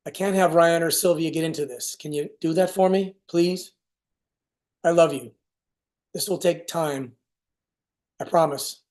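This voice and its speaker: A man playing a tired, evasive, ashamed alcoholic father in a staged play reading, speaking haltingly. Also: a little high, steady tone, a little mellow, natural voice. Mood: confessing, earnest. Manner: hesitant, broken, halting phrasing.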